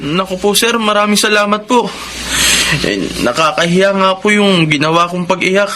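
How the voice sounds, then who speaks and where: A man's voice: sits high, moderate pace, natural voice. An actor playing a humble young Filipino delivery boy in a radio drama, polite, hesitant and plaintive. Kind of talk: Tagalog radio drama acting. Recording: clear background noise.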